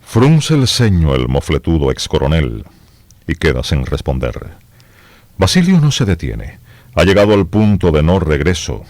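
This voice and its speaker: A man's voice: very deep voice, huge pitch swings, unhurried, darkish tone, no echo, heavily acted. A man narrating a radio drama in a grave, suspenseful, scripted style.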